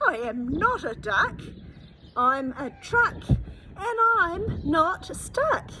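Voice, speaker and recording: A woman voicing a joyful, defiant old truck in a storybook, shouting out drawn-out words. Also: extremely high pitch, big pitch swings, background noise, pitched above the performer's own voice, exaggerated voice. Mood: gleeful, defiant.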